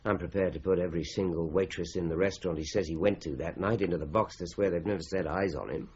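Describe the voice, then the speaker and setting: An actor playing a persistent, sceptical British police inspector in a radio drama, questioning briskly and formally with wry authority. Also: male voice, sits low, fairly even intonation, a little mellow, slightly rough, lo-fi sound. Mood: confident.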